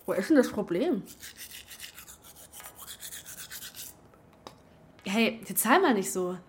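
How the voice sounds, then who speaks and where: A woman's voice: medium pitch, very animated, moderate pace, slight background noise, natural voice. An actress playing a breezy, forward young woman in a naturalistic radio drama, talking casually in youthful slang. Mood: insistent, coaxing. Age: young adult.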